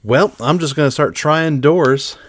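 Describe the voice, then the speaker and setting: A man's voice: on the high side, a little slow, a bit bright, no room sound. A man chatting casually and playfully as a player in an improvised tabletop role-playing game.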